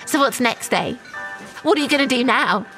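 A woman's voice: high-pitched, animated, normal speed, pushed and bright, faint music behind. An actress playing an anxious, self-justifying woman shop manager in a naturalistic audio drama. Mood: angry, accusing.

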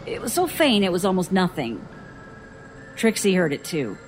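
An actress playing a weary, guarded survivor giving dry, reluctant testimony in an audio drama. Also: female voice, on the low side, big pitch swings, normal speed, soft background music. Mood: uneasy, reflective. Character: guarded, weary woman survivor recounting childhood strangeness.

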